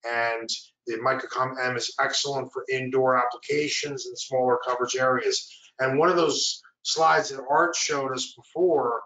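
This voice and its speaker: A man's voice: mid-range voice, steady tone, normal speed, sharp tone. A middle-aged man giving an informal product presentation on a webinar from a small room. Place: small indoor room, video call. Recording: roomy echo, distant microphone.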